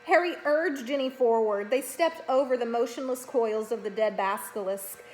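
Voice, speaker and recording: High-voiced woman reading a storybook aloud. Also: normal speed, soft background music, poor audio. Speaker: storybook reader. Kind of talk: expressive read-aloud narration of a children's fantasy novel.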